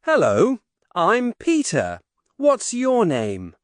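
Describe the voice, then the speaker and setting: A woman voicing a polite, cheerful young schoolboy in a scripted textbook dialogue, speaking slowly and carefully. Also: medium pitch, wildly swooping pitch, no room sound. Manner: slow, carefully spoken.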